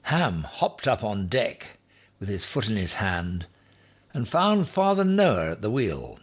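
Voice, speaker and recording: Slow, husky man reading a storybook. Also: lowish voice, sing-song. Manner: deliberate, with dramatic emphasis. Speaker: story reader. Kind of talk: expressive children's storybook narration.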